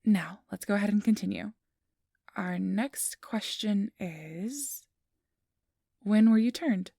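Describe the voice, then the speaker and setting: A woman playing a friendly, easily flustered registration clerk in a soft-spoken ASMR roleplay, gentle, hesitant and reassuring. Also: medium pitch, vocal fry, husky, no echo, clean studio sound. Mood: soft, businesslike. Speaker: interviewer.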